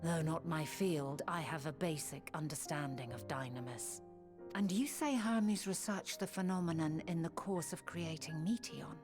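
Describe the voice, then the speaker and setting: A woman playing a wise, resolute ancient leader in a fantasy video game, speaking formally in a measured, stately way. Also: low-pitched, natural voice. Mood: thoughtful, assured. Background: background music.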